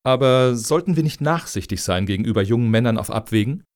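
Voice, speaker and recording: A man's voice: medium pitch, animated, quick pace, darkish tone, close to an everyday voice. An actor playing a dryly mocking male jury member in a radio satire.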